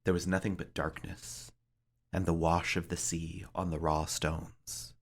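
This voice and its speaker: A man reading a somber story aloud as a librarian, with theatrical, deliberate phrasing. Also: low-pitched, flat delivery, husky. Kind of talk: dramatic storybook narration.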